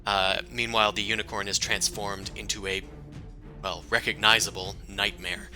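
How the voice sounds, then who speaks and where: A man narrating as game master in a tabletop game, chatty and relaxed with many fillers. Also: fairly low pitch, moderate pace, soft background music.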